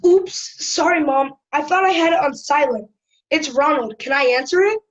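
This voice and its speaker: Cheeky schoolboy narrator voiced by a woman: high, wry, chatty, telling family troubles in an audio drama, slightly muffled sound. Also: normal speed, natural voice. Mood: sheepish. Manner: wry, conversational. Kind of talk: first-person storytelling in audio drama.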